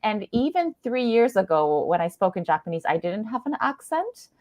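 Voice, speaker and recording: A woman's voice: mid-range voice, big pitch swings, moderate pace, no echo, lo-fi sound. A woman from Canada, a guest in a casual conversation, talking freely with lots of fillers and frequent laughter. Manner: chatty, laughs often, many fillers like "like" and "you know".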